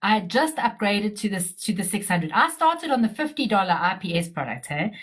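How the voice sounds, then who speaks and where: A woman's voice: medium pitch, sing-song, brisk, fairly bright tone, breathy edge. Blunt, outspoken woman in casual talk. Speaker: digital marketer. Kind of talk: casual conversation.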